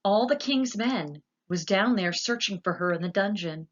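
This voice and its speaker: A woman reading aloud and voicing a blunt, no-nonsense castle servant woman, close to her own slow, husky voice. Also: mid-range voice, lively intonation, muffled recording, voice pushed up, close to an everyday voice.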